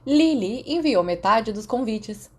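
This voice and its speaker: A woman's voice: a little high, expressive, slow, a little mellow, husky, voice pushed up, heavily acted. A woman voicing a smug, teasing teenage boy prankster in a fan fiction audiobook.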